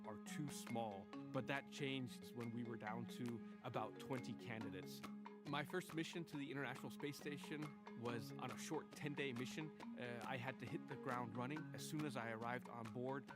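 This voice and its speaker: A man from Denmark, a native Danish speaker, talking calmly and matter-of-factly about himself. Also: medium pitch, moderate pace. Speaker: astronaut pilot. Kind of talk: recorded personal profile. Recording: loud music bed under voice.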